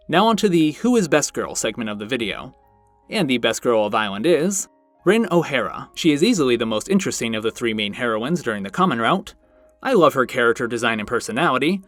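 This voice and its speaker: A man presents a scripted review in a conversational, slightly wry tone. His pitch sits in the middle of the male range and moves around in a lively way. Soft music plays behind his narration. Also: dry recording. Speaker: video reviewer, channel host. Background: background music.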